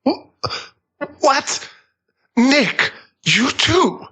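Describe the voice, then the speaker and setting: A man's voice: very high voice, big pitch swings, very slow, pushed and bright, heavily acted. A man playing a beaten, desperate, moralizing agent in an audio drama, strained, incredulous and pleading. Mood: shocked, strained.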